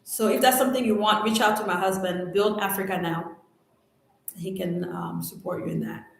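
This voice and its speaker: A middle-aged woman from Cameroon, a French speaker, answering viewer questions in a live chat, animated and emphatic with slangy exclamations. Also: mid-range voice, moderate pace, creaky. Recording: very echoey room.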